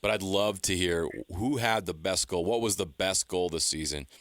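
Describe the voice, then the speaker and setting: A man's voice: sits low, moderate pace, fairly bright tone, no echo. A man co-hosting sports talk radio, chatting casually and putting questions to a guest. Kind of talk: casual interviewing.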